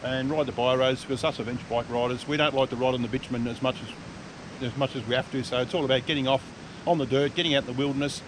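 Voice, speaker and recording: An Australian man hosting a motorcycle vlog, chatting casually outdoors in an easygoing way, with relaxed local slang. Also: fast talker. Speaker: vlog host and adventure motorcyclist. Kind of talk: casual chat to camera. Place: outdoors by the roadside. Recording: outdoor noise with vehicle rumble.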